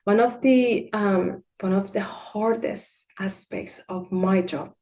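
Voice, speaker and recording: Woman, native farsi speaker, art therapist, mellow tone, measured gentle delivery, case presentation. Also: medium pitch. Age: adult with a child. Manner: measured, gentle, partly read from notes. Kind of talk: presenting a clinical case study.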